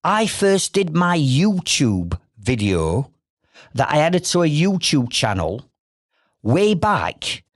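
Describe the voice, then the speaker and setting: A man's voice: on the high side, very animated, slow, no echo, clean studio sound. A middle-aged man with a Yorkshire accent, hosting a solo business podcast in a direct, persuasive way with many rhetorical questions. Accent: Northern English, Yorkshire. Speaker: podcast host.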